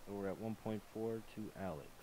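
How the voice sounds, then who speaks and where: A man running an improvised auction in an online roleplay game, repeating bids with hesitant pauses. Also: on the low side. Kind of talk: live improvised auction calling. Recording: noisy voice-chat with clear background noise.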